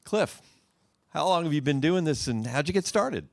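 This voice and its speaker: A man's voice: fairly high pitch, animated, moderate pace, vocal fry, dry recording, studio quality. A man hosting a radio music session, asking a musician questions in a friendly way with short fillers. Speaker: radio interview host. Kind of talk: interviewing a musician.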